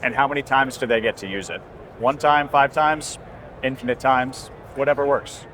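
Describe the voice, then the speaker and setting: Man, mid-pitched voice, product presenter, conversational, explanatory, faint trade show noise. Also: steady tone, moderate pace, a bit bright. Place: busy indoor trade show booth. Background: exhibition hall ambience.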